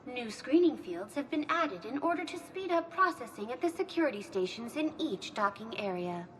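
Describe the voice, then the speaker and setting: Polite computer assistant lady, high n lilting, announcer-like, game info kiosk. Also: big pitch swings, moderate pace, slight background noise. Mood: courteous, informative. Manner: scripted, courteous, announcer-like. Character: polite synthetic female information assistant, courteous and official. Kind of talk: video game acting.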